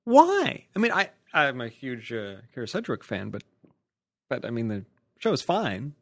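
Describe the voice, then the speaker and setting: A man's voice: mid-range voice, huge pitch swings, normal speed, vocal fry, no room sound. A man co-hosting a podcast, reading out listener questions and bantering casually with a joking manner.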